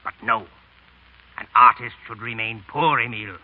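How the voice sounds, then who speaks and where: An actor playing a frank, principled middle-aged French painter, speaking with earnest reproach in the manner of 1930s radio drama. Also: male voice, medium pitch, lightly acted. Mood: earnest, regretful. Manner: earnest, reproachful, deliberate phrasing. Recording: tinny, narrow 1930s radio broadcast.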